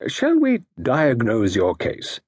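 A man voicing a calm, self-assured middle-aged consultant who speaks in a measured, soothing way. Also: mid-range voice, husky, dry recording, studio quality, pitched above the performer's own voice, full character voice.

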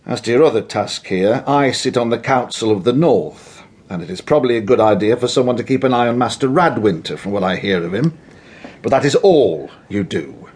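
A menacing, overbearing Tudor official for radio drama. His husky voice swings widely in pitch as he gives brusque, contemptuous orders. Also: expressive, fairly quick, darkish tone. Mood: quiet menace. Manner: brusque, commanding. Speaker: senior official. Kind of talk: full-cast radio drama.

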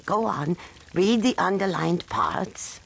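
A woman's voice: lowish voice, slow pace, vocal fry, breathy edge, heavily acted. A woman playing a kindly, feisty old grandmother with folksy, soothing yet bossy talk in a children's audio drama. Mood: gentle, encouraging.

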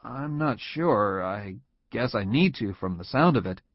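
Brief: reader as jittery young office man, halting and unsure, lively pitch, dry sound. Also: no room sound. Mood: nervous. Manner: hesitant, stammering. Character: anxious young male employee, hesitant. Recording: dull lo-fi audio.

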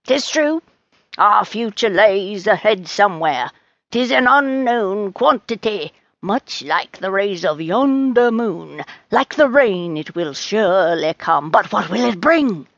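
A woman's voice: medium pitch, animated, slow pace, a bit bright, no room sound, muffled recording. Woman acting a theatrical old fortune teller, swooping delivery. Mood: ominous, dramatic. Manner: theatrical, old-fashioned, mysterious pronouncements. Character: eccentric, toothless old woman fortune teller, brusque, smug.

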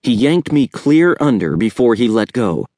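A man voicing a gravely wounded young swimmer earnestly recounting an attack in an audiobook. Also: mid-range voice, very animated, moderate pace, a little mellow, no echo, clean studio sound. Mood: dazed, horrified.

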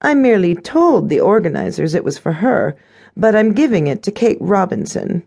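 A woman's voice: medium pitch, very animated, normal speed, creaky, dark tone, husky, voice pushed up, exaggerated voice. A woman narrator voicing a smug, conniving stepmother in an audiobook, sugary and condescending. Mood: breezily smug.

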